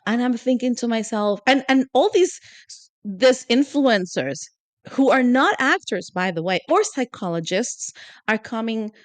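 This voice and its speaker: A woman's voice: on the high side, animated, measured pace, no echo. A woman co-hosting a podcast in casual, opinionated chat, often asking "you know?". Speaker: podcast co-host.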